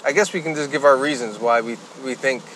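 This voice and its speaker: Male podcast co-host, mid-pitched, casual and loosely profane, faint ambient noise behind him. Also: a little fast, fairly bright tone, rough recording.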